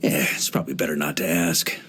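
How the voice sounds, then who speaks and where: An actor playing a hearty middle-aged knight grandmaster in a video game, an easygoing adventurer who talks in a wry, conversational way. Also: male voice, extremely low pitch, husky, heavily acted. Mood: admiring, easygoing. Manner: relaxed, wry, conversational, with chuckles and musing pauses. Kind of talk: video game character voice lines.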